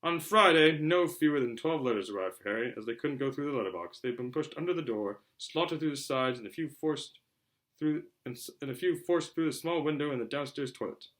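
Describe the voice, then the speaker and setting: Male story reader, quick pace, bright forceful tone, animated delivery with small stumbles. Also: medium pitch. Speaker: reader, show host. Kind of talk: amateur audiobook-style story narration.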